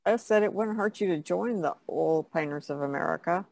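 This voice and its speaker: A woman's voice: fairly low pitch, brisk, creaky, darkish tone, no echo. A woman chatting casually as a painter guest on a podcast. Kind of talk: casual chat.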